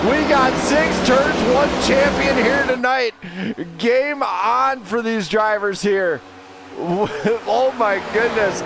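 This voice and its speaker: A man calls a sim race live in a high voice, getting excitable and rattling off drivers as the field battles. He keeps an unhurried pace between bursts. Racing engine noise from the game roars clearly behind him. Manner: excitable, shouting driver names. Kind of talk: live play-by-play race commentary. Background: car engine roar from the game.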